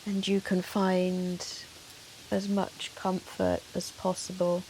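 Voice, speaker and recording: A woman yoga teacher leading a guided meditation in a soothing, measured, gentle manner with long pauses. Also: fairly low pitch, completely flat delivery, creaky, poor audio. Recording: soft hiss of rain noise. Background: soft rain sound.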